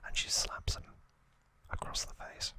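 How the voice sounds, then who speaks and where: A man speaks entirely in a breathy, crisp-edged whisper as an ASMR host. He goes very slowly through a story recap, breaking it into short hushed phrases with gentle pauses.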